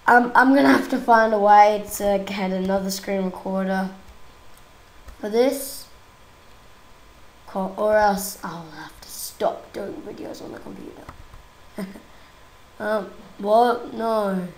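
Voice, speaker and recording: A young woman with a croaky voice from a cold, chatting casually and giggling while she plays a game. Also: mid-range voice, slow, long pauses, roomy sound, low-quality recording. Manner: chatty, giggly, easily exasperated. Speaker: gaming YouTuber. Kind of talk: casual gameplay commentary.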